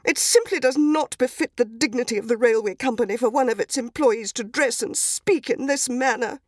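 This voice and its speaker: A woman voicing a prim, easily upset lady railway passenger, shrill and outraged, in a story reading. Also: normal speed, dry recording, studio quality, close to an everyday voice. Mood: indignant.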